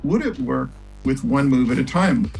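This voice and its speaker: A man, a guest on an interview show, tells an anecdote in an animated way and acts out the quotes. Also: mid-range voice, normal speed, gravelly, soft background music. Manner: animated storyteller acting out quotes. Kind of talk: anecdote telling.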